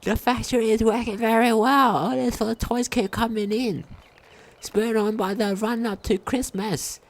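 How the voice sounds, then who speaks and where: A woman's voice: a little high, fairly even intonation, unhurried. A woman reading a diary aloud during a game playthrough, sometimes stumbling over longer words. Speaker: game commentator.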